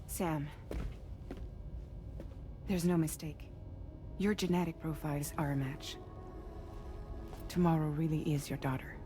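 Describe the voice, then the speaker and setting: A woman's voice: low voice, fairly even intonation, measured pace, pauses often, mellow, soft background music. A woman playing a grave, resolute ally in a cinematic video game, speaking in an earnest, determined way. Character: grave, resolute woman among a band of allies.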